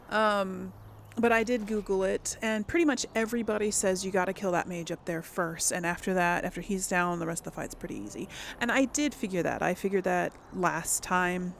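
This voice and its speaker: A woman with plenty of vocal fry talks through a game as its host in an unhurried way. She rambles casually, thinking aloud and correcting herself as she goes. There is faint noise behind her voice. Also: medium pitch, fairly bright tone. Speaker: gaming video host. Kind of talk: casual let's play commentary.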